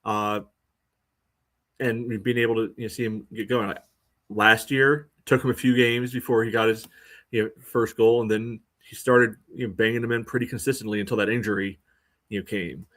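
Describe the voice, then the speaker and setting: A man co-hosting a sports podcast, giving casual analysis in a halting, conversational way. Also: on the low side, flat delivery, fairly bright tone. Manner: halting, conversational, peppered with "you know". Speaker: podcast co-host.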